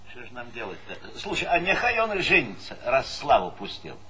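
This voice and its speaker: An actor playing a brisk, folksy, middle-aged collective farm chairman who is bossy and repeats words in quick bursts, in a stage comedy. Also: mid-range voice, huge pitch swings, sharp tone. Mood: assertive, puzzled. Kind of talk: theatrical stage comedy acting. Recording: noisy 1970s Soviet theatre recording.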